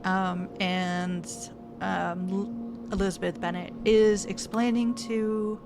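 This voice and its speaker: A woman's voice: mid-range voice, little pitch movement, slow pace. A woman streamer casually thinking aloud while playing a game and reading text off the screen. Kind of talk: casual let's-play commentary. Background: game music.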